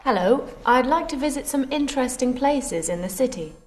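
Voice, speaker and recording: A woman's voice: high-pitched, lively intonation, normal speed. An actress playing a polite, curious female tourist asking a local about sights. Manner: polite questioning.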